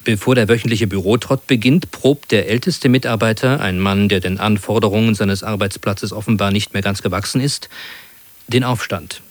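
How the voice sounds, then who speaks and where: A man reading a scripted introduction to a radio play as announcer, in a measured, formal manner. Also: on the low side, no echo.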